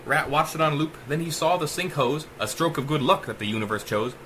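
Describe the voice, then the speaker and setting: A man's voice: fairly high pitch, fairly quick, pushed and bright, a little hiss or hum. A man narrating a rhyming short story as a dramatic audiobook, with theatrical, emphatic delivery. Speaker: author reading own short story.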